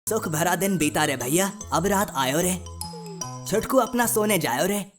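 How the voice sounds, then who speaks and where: A woman's voice: fairly low pitch, expressive, measured pace. A woman voicing a cheeky, chatty cartoon sidekick who teases and mocks in sing-song rhymes. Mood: sing-song mocking. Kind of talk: comic cartoon dubbing in Hindi with rhymes. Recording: music bed under the voice.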